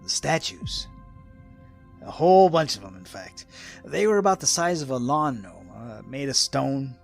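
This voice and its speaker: An actor playing a folksy, rambling old American hermit in a radio drama, with a gravelly, raspy voice and an excitable rustic manner. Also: male voice, mid-range voice, a little slow, soft background music, full character voice. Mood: folksy, reminiscing. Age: older.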